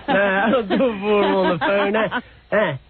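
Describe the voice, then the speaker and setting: A man with a high, husky voice as a guest on talk radio. He talks casually in short, slangy, slacker-like replies over a muffled, narrow-band recording. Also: steady tone, normal speed. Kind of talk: casual banter.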